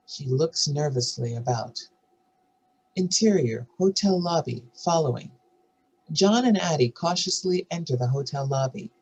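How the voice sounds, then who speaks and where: A woman with a husky voice reading screenplay stage directions as a narrator. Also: low-pitched, darkish tone, rough recording.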